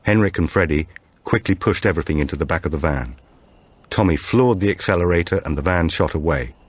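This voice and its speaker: A man's voice: low voice, a little fast, husky, dry recording. A man narrating a supernatural thriller audiobook from a script.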